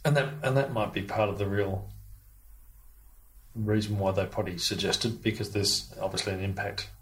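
An Australian man recalling a personal experience in an interview, halting and repeating his phrases. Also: fairly low pitch, steady tone, fairly bright tone. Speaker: interview guest, foster father.

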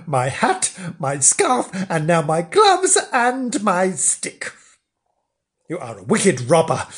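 A storyteller voicing an indignant, blustering man from a children's storybook, spluttering with outrage. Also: medium pitch. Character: indignant, blustering middle-aged man, shouting accusations.

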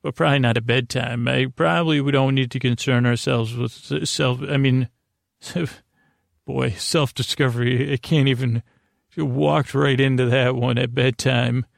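Meandering, creaky-voiced man lulling listeners. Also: medium pitch, steady tone, no echo, studio quality. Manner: meandering, halting, often trailing off and repeating himself; lulling, soothing. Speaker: sleep podcast host. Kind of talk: rambling bedtime monologue.

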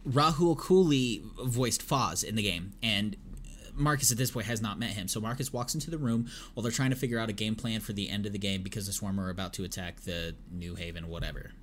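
A man's voice: on the low side, brisk, fairly bright tone, a little hiss or hum. A man chatting as a podcast co-host, retelling at length with lots of ums and uhs. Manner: rambling retelling with many fillers. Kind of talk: casual chat.

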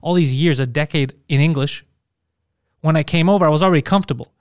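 A man from Montreal tells stories in a loose podcast chat, animated and laughing, with plenty of swearing. His voice sits a little high and comes through smooth and resonant, recorded close and dry. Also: fast, dry recording. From Montreal, Canada. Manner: animated storyteller, swears freely, laughs often. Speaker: comedian guest.

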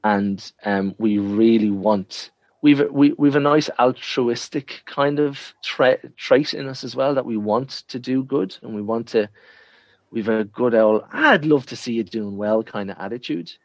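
A man's voice: mid-range voice, measured pace, dry recording. An Irish man answering interview questions in a frank, emphatic way, often repeating himself to drive a point home. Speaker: interview guest.

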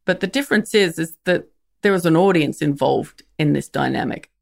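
Woman guest, comedian; gravelly, frank, wry, self-correcting. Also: low voice. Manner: frank, wry, with halting restarts. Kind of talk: reflective interview talk.

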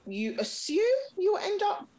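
A woman's voice: extremely high pitch, sing-song, unhurried, darkish tone. A woman in her thirties, a consultant and content creator, talking casually about her life with frequent laughs and restarts. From Nigeria (Yoruba background), raised in Britain. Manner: chatty, laughs often, many restarts. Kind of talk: casual personal interview.

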